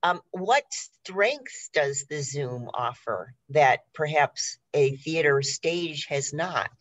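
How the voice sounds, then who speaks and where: A woman's voice: deep voice, expressive, slow pace. A woman hosting a live radio interview, putting questions to guests and steering the show.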